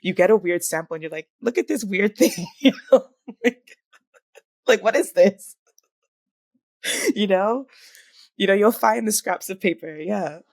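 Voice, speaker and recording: A woman's voice: medium pitch, animated, unhurried, gravelly, long pauses, a little mellow, dry recording, studio quality. A woman who is a materials researcher and podcast guest, chatting casually, often laughing and trailing into fillers. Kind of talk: casual conversation.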